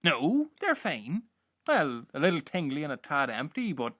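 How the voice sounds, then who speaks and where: A man playing an excitable, naive, simple-minded castaway in a scripted audio comedy. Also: fairly high pitch, moderate pace, dry recording, studio quality, full character voice. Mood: sheepish. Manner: naive, eager.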